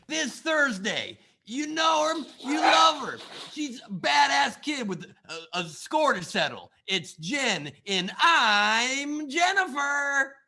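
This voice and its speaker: A man voicing a hyped TV promo announcer, shouting the lines. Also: very high voice, sing-song, takes it slowly, hardly any pauses, noisy background, pitched above the performer's own voice, full character voice. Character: booming male TV promo announcer.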